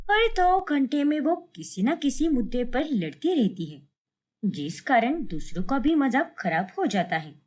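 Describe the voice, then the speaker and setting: High-pitched woman narrating a story. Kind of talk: scripted narration of a Hindi cartoon story.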